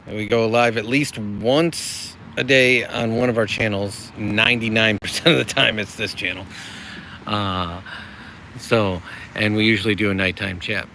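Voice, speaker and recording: A man's voice: medium pitch, sharp tone, a little hiss or hum. A middle-aged American man hosting a casual livestream, rambling in a friendly way and reading chat messages aloud. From United States. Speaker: livestream host.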